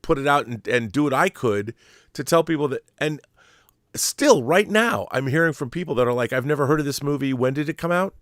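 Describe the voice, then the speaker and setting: A man's voice: on the high side, animated, brisk, a bit bright, dry recording, clean studio sound. A middle-aged American man co-hosting a casual film discussion, opinionated and emphatic, often posing rhetorical questions. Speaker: talk show co-host.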